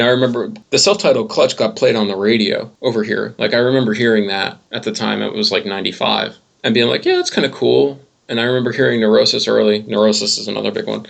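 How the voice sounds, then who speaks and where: A man's voice: fairly low pitch, vocal fry, a bit bright. A man, chatting casually and enthusiastically as an interview guest, rambling and swearing now and then. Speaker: music blogger, interview guest.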